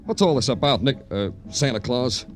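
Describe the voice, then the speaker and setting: A man's voice: mid-range voice, lively intonation, normal speed, faint music behind, close to an everyday voice. A man playing a wry, exasperated big-city police detective, terse and sardonic, in a 1950s radio crime drama.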